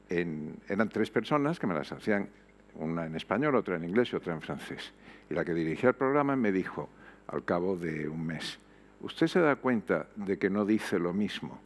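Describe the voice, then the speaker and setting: An older man with a low voice that rises and falls widely as he presses his points. He talks reflectively on a panel, pausing and restarting, into a headset mic on a stage before an audience. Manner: reflective, emphatic, frequent hesitations. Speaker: panel guest. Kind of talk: panel discussion.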